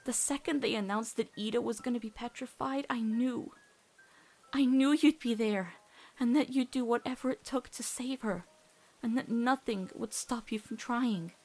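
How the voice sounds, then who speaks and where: A woman's voice: fairly high pitch, normal speed, a little mellow. A woman voicing a devoted, earnest teenage girl in an emotional cartoon comic dub, pleading and faltering as she speaks. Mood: sad, fearful. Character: devoted, earnest teenage girl, fearful of losing loved ones.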